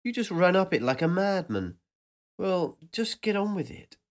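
A man's voice: high voice, sing-song, normal speed, voice pushed up. A man voicing the impatient, bossy inner voice in his own head. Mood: exasperated.

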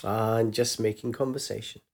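A man voicing a calm, guarded woman who speaks softly and reassuringly. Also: very deep voice, normal speed, breathy edge, exaggerated voice.

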